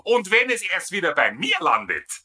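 A blustering Bavarian sergeant in a sitcom dub. The voice is piercingly bright and rather high, swinging widely in pitch. He is indignant, tipsy and full of mock military authority. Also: wildly swooping pitch, moderate pace, husky, exaggerated voice. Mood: blustering outrage. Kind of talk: dubbed sitcom comedy acting.

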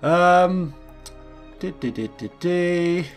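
A man hosting a casual gameplay commentary, thinking aloud and correcting himself as he goes, his voice a little croaky from just waking up. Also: high-pitched, lively intonation, very slow, soft background music. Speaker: let's play host.

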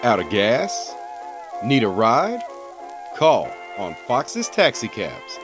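A man's voice: mid-range voice, huge pitch swings, slow. A man playing a showy old-time announcer in a vintage radio commercial, with a slick, salesy delivery. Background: loud music.

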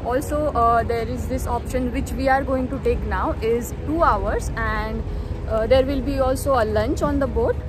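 A woman's voice: on the high side, moderate pace. A woman, narrating a casual travel vlog. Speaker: travel vlogger. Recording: music bed under the voice.

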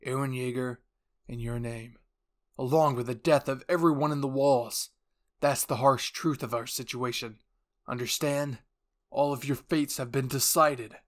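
A man acts out a ruthless young enemy warrior from an anime roleplay. He delivers cold threats in a loud, furious, declamatory yell. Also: mid-range voice, fairly even intonation, unhurried, close to an everyday voice. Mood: cold fury.

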